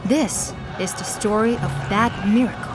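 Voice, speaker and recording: A woman's voice: medium pitch, normal speed, music in the background. A woman narrating a scripted historical audio drama in a solemn, theatrical storytelling style.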